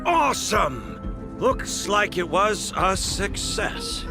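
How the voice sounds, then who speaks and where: Male nerdy researcher for a game: excitable, earnest, somewhat high, very animated intonation. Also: very slow, over music, full character voice. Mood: dramatic, excited. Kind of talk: scripted video game voice acting.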